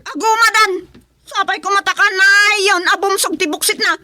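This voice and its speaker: A woman's voice: extremely high pitch, moderate pace, sharp tone, dry recording, full character voice. A woman with an Ilocano accent playing an aggrieved, complaining wife in a comedic radio drama. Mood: indignant. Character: aggrieved wife bickering at village hearing.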